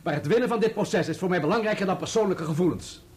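Radio drama defendant, played by a man: male journalist, self-justifying, clipped delivery, lightish high register. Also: fast, lightly acted. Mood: reluctant, self-justifying. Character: defensive male journalist testifying in his own trial. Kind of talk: Dutch radio play acting.

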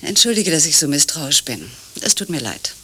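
A woman's voice: low-pitched, big pitch swings, normal speed, sharp tone, husky, full character voice. An actress playing a distrustful, needling woman in radio play dialogue, insistent and probing. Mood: suspicious, needling. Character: distrustful, needling visitor pressing for honesty.

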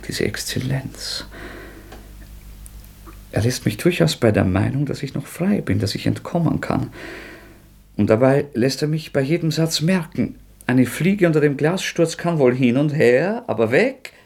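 An actor playing a tormented young murderer in a radio play, feverish and bitter, swinging between scorn and despair. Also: male voice, mid-range voice, animated, measured pace, gravelly, darkish tone, husky, heavily acted. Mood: brooding, scornful.

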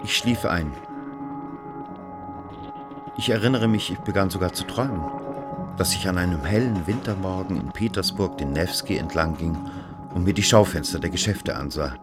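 A man playing a brooding first-person narrator in a radio drama, telling a literary tale in a measured, dramatic way. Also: sits low, music in the background, lightly acted. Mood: reflective.

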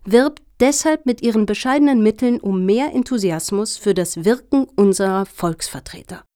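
An actress playing an earnest, officious lobbyist in a satirical radio play, talking in formal, deliberate, speech-like sentences. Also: female voice, medium pitch, mellow, clean tone, lightly acted. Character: earnest, officious female lobbyist pitching for funding.

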